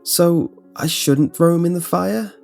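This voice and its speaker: A man's voice: on the high side, measured pace, creaky, darkish tone, voice pushed up. A man voicing small children who say eerie, innocent things in a plain, childlike way for a horror narration. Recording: voice-over with music behind.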